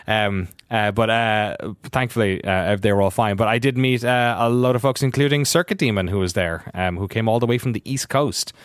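An Irish man co-hosting a podcast, chatting casually with jokes and quick laughs. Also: fairly low pitch, smooth, no echo, studio quality. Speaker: podcast co-host. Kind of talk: casual chat.

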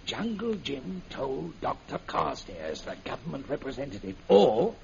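A man's voice: medium pitch, wildly swooping pitch, measured pace, creaky, heavily acted. An actor playing an imprisoned middle-aged father, anxious and emphatic, in the style of a 1940s radio serial. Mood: excited, insistent. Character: imprisoned British man, anxious father, eager conspirator. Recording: muffled, noisy old radio recording.

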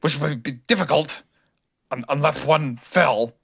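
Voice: mid-range voice, a little slow, creaky, fairly bright tone, slightly rough, heavily acted. A reader acting a boastful, bouncy storybook tiger. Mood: uneasy bravado. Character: boastful, bouncy young tiger, confident show-off.

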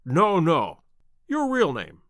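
A man voicing a shrewd, self-assured gentleman detective in an audiobook, courteous and precise in manner. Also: high-pitched, sing-song, fairly quick, no room sound, studio quality. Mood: smoothly polite. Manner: bland, courteous, confident, precise.

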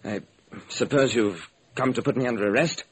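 A man playing a nervous, middle-aged horror film actor in a radio drama, halting and melodramatic. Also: medium pitch, a little hiss or hum, lightly acted, poor audio. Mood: shaken. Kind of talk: classic radio drama acting.

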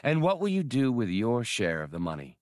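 Worldly male adventurer, read by a man. Knowing, measured, varied intonation, dry audiobook sound. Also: mid-range voice, wildly swooping pitch, darkish tone, dry recording. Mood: knowing, casual.

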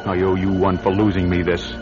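Deep-voiced, ambitious man, played by an actor. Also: completely flat delivery, fairly quick, over music, lightly acted. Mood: bitter. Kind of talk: radio drama acting. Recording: dull, noisy radio recording.

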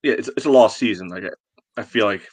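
Low-voiced man in a dry recording. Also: a little fast, no echo. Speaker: podcast co-host. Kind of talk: casual sports talk.

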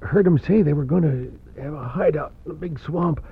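A man's voice: a little high, a little fast, slightly rough. An actor playing a wounded, stubborn older father in an old radio drama. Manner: weak but stubborn. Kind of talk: 1940s radio acting. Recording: thin tinny old radio.

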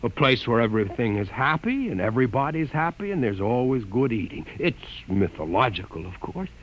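An actor playing a proud but frightened young male scientist in a 1950s radio drama, earnest and quick to indignation. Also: medium pitch, big pitch swings, low-quality recording. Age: young adult. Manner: earnest, indignant, often alarmed.

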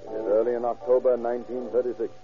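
A man's voice: mid-range voice, little pitch movement, fast talker. A man narrating a radio drama from a script in a grave, formal, dramatic 1930s style. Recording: thin, phone-like 1930s radio recording, music.

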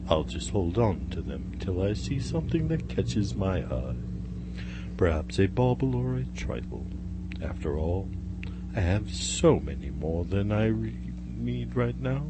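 Man playing very deep, sly old fox demon. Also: big pitch swings, a little slow. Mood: smug, nonchalant. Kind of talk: live radio drama acting. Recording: loud noise and hum.

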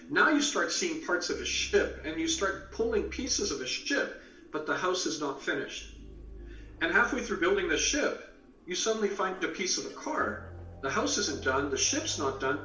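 A man's voice: high voice, bright, forceful tone, highs cut off. Distant echoey man telling stories. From born in England, raised in Canada. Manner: explains with extended metaphors, acts out quoted dialogue. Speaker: author and guest. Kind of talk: storytelling interview answers.

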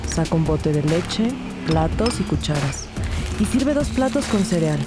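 A woman's voice: sits low, lively intonation, unhurried. A woman narrating a scripted Spanish-language crime audio drama. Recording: loud music behind the voice.

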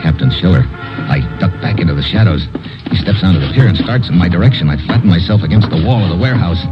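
Tough wisecracking radio gumshoe, talks quick, clipped, dull vintage recording. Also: male voice, sits low, expressive, over music. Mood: tense, urgent. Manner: clipped, slangy, sardonic. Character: tough, wisecracking male investigator narrating his case. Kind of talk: hard-boiled old-time radio narration and acting.

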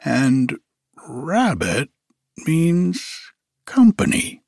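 A man voicing a slow, simple, cheerful male bear who hums in a sing-song way as he reads a storybook aloud. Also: on the high side, wildly swooping pitch, creaky, darkish tone, dry recording, studio quality, voice pushed up, heavily acted. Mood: musing. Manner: slow, sing-song humming, puzzled musing.

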